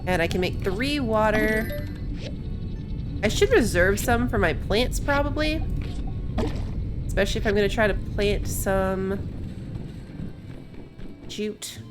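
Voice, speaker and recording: Female let's play host, relaxed slow pace with lively intonation, casually talking through gameplay over music. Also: medium pitch. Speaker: gaming video host. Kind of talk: casual let's play commentary, thinking aloud. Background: game music.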